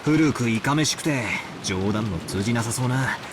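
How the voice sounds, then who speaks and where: A man plays a cocky teenage boy from a visual novel, speaking fast and very animatedly. His talk is rough, wry and teasing, full of bravado. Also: medium pitch, lively intonation, background noise, natural voice. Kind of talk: Japanese visual novel voice acting. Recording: prominent music behind the voice.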